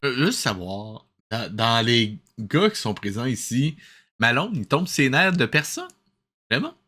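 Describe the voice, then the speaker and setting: A man's voice: medium pitch, sing-song, measured pace, bright, forceful tone, slight background noise. A man in his thirties with a Québécois accent, hosting a sarcastic cartoon review, incredulous and self-mocking. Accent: Québécois French. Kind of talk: sarcastic reaction commentary.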